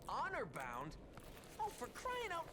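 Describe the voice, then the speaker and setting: A man's voice: very high voice, wildly swooping pitch, slow pace, noisy background, exaggerated voice. A man voicing an earnest, easily exasperated teenage turtle leader in a video game, serious and decisive. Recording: game music behind.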